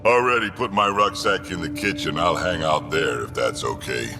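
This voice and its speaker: An actor voicing a gruff, laid-back alien warrior squadmate in a video game. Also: male voice, sits low, moderate pace, music in the background, natural voice. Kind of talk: video game dramatic dialogue.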